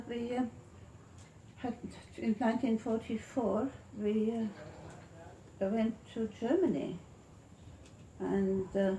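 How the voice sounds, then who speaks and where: A woman in her eighties with a Latvian accent, recalling her life in an interview, haltingly and searching for words. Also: mid-range voice, warm tone. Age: 80s. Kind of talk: oral history reminiscence. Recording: echoey room with faint noise.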